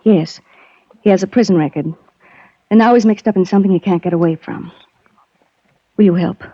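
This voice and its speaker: A woman's voice: medium pitch, fairly quick, no room sound, natural voice. An actress playing an earnest, anxious young woman in a 1940s radio drama, speaking with clipped urgency. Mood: anxious, pleading. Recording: dull vintage radio recording.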